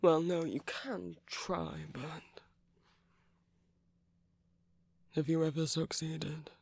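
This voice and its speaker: Male villain boyfriend for ASMR roleplay, acted by a man: husky and gravelly, commanding but caring, slow and coaxing, often slipping into whispers. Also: a little high, fairly even intonation, a little mellow, heavily acted. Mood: coaxing, suspicious. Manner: slow, deliberate, coaxing with pointed pauses. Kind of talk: intimate ASMR roleplay acting.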